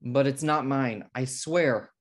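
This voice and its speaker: An uptight, punctual young American schoolteacher, played by a man in a comedy table read. The male voice is mid-pitched, fussy and exasperated. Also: slow, lightly acted. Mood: defensive. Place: indoor room, video call.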